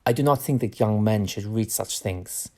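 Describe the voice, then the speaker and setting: An actor playing a grave, principled male professor in a period audio drama, formal and gently disapproving. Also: lowish voice, moderate pace, lightly acted. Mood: grave disapproval.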